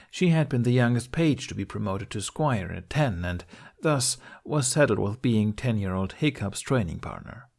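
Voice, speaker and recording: A man with a fairly low voice narrates a story. He reads in an expressive audiobook style, telling fan fiction as scripted prose. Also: vocal fry.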